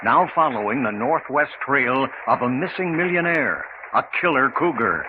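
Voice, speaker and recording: A man announcing and narrating an old-time radio mystery in a deliberate, portentous, dramatic manner. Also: medium pitch, animated. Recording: dull, noisy old-style radio recording.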